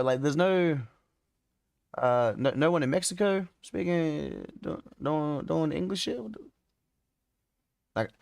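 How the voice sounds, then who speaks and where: A man's voice: mid-range voice, gravelly, dry recording, studio quality. A young Australian man chatting casually with viewers on a live stream, slangy and easygoing, swearing and calling everyone "bro". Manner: laid-back slangy talk, swears, says "bro" a lot. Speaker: streamer and beat maker. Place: home studio room indoors.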